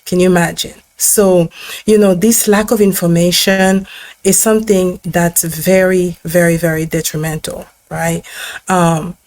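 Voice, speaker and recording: Halting woman dentist, low dry voice. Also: little pitch movement, darkish tone, dry recording. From Democratic Republic of Congo. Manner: thoughtful, halting, many fillers and restarts. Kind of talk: personal story to camera.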